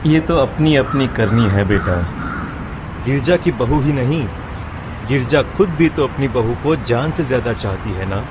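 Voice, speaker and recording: A man playing a wise, benevolent older temple priest in an animated moral story, fatherly and moralising. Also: medium pitch, unhurried, lightly acted. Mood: wise, consoling. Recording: clear background noise, outdoor ambience.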